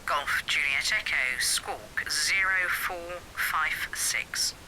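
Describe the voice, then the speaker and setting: Man playing male military air traffic controller, slightly high pitch, radio effect, faint hiss, matter-of-fact, clipped phraseology. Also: pushed and bright, exaggerated voice. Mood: calm, official. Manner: brisk, official, matter-of-fact. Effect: radio transmission. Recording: hissy, noisy, harsh radio link.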